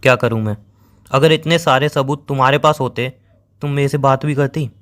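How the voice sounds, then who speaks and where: A man's voice: mid-range voice, fairly even intonation, brisk, fairly bright tone, close to an everyday voice. A man playing a hurt, accusing young boyfriend in a dramatized breakup phone call, pleading and sarcastic and repeating himself for emphasis. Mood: disbelieving, bitter. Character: hurt, accusing young boyfriend confronting his cheating girlfriend.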